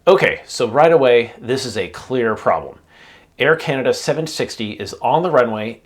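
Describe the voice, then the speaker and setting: A man hosting an aviation channel, narrating an explanatory video in a measured way with emphasis on key points. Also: medium pitch.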